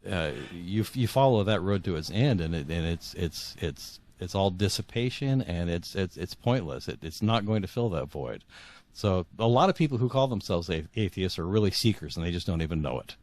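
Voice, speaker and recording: A man in his sixties speaking as a guest author in a reflective interview, earnest and halting, often repeating words as he searches for phrasing. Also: low voice, lively intonation, darkish tone. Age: 60s.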